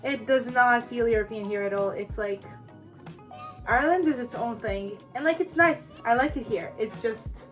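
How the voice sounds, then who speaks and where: A young woman speaks in a frank, easygoing way, hedging with "like" and "just". Her voice is a little higher than most women's. Clear music runs underneath her casual chat. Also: normal speed. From Croatia. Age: young adult. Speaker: co-host, student.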